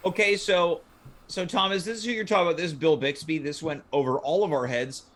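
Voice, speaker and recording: A man's voice: fairly high pitch, steady tone, a little fast, fairly bright tone. A man hosting a casual panel chat. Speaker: talk show host.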